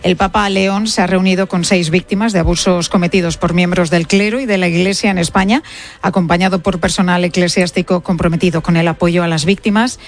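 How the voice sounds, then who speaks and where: A woman's voice: fairly low pitch, steady tone, fairly quick. A woman with a Castilian Spanish accent, hosting a live radio show with running commentary. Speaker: radio host.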